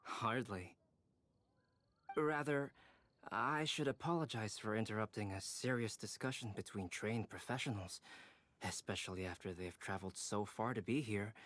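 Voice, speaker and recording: A man playing a calm, courteous young scholar in an anime-style game, speaking formally and with measured care. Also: fairly low pitch, dry recording, natural voice.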